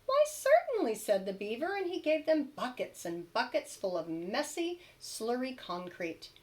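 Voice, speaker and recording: A woman's voice: fairly high pitch, sing-song, unhurried, darkish tone. A woman narrating a children's storybook expressively, like a teacher reading aloud to young children.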